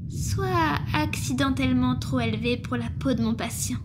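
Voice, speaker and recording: A woman voicing a gentle, teasing slime monster nurse in a soft, caring French ASMR roleplay, often slipping toward a whisper. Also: high-pitched, moderate pace. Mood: caring, explaining. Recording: clearly noisy ambience behind the voice.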